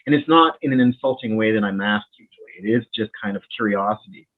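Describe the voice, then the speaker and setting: A man with a Winnipeg accent and a fairly low voice talks as a doctor in a relaxed interview. He sounds friendly and animated, and he often repeats words as he gets going. The sound comes over a thin, tinny line, as if through a phone. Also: quick pace. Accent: Canadian, Winnipeg. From Bahamas. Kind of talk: casual interview conversation.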